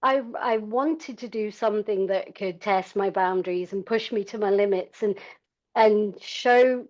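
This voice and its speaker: A woman's voice: medium pitch, a little slow, darkish tone. A middle-aged woman from South Africa telling her personal story in a frank, conversational, self-deprecating way as an interview guest. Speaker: podcast guest, endurance cyclist. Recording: dull, lo-fi audio.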